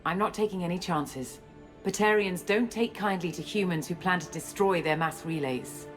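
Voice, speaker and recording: A woman playing a guarded, self-assured scientist and space operative in a video game, explaining things in a measured way. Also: on the low side, natural voice. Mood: composed, explaining. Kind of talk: video game cutscene acting. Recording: music under the dialogue.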